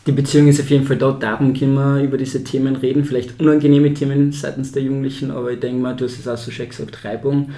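Young guy chatting on a podcast, thoughtful, lots of ums, dark soft voice, echoey room. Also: medium pitch, little pitch movement, fast talker, few pauses. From born in Israel, raised in Austria. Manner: reflective, speaks in long winding sentences with many fillers. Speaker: social worker, podcast guest. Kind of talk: casual conversation. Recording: roomy echo.

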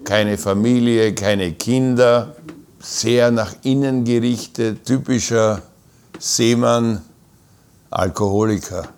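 A man's voice: medium pitch, slow pace, darkish tone, smooth. A man playing a plain-spoken, hard-of-hearing seaman in a radio play. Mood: matter-of-fact. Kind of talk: radio play character monologue.

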